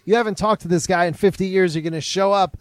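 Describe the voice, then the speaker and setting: High-voiced man hosting casual sports talk. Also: fairly even intonation, fast talker, no echo. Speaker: sports talk show host.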